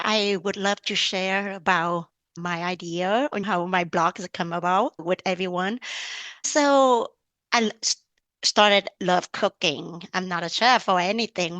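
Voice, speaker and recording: A woman with a Vietnamese accent talks as a podcast guest in a casual, animated way, often breaking into laughter. Her pitch sits in the middle range. The sound is close and dry. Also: no room sound. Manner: animated, laughs often, non-native phrasing. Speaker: podcast guest, lifestyle blogger. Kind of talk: casual interview chat.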